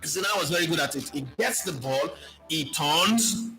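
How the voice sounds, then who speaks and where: A Nigerian man speaking as a football pundit, answering viewer questions in an animated, preachy way and mixing in pidgin. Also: on the high side, moderate pace, faint music behind. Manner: animated, preachy, lists players, mixes in pidgin.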